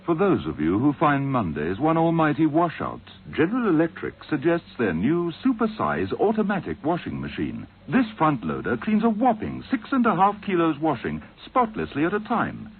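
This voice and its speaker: A man playing a playful appliance pitchman in a scripted radio commercial. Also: huge pitch swings, moderate pace, hardly any pauses. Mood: upbeat, questioning. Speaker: advertising announcer. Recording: noisy, tinny vintage radio recording.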